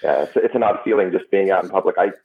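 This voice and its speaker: Deep husky man on a tinny line. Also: quick pace. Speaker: podcast guest. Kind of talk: casual chat. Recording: tinny phone-like remote call.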